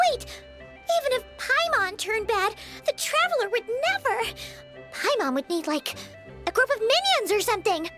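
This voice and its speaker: A woman voicing a tiny, excitable, naive fairy companion in a video game, with a squeaky childlike voice and bubbly chatter. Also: full character voice. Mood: excited realization. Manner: bubbly, refers to herself in third person. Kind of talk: video game character dialogue. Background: background music.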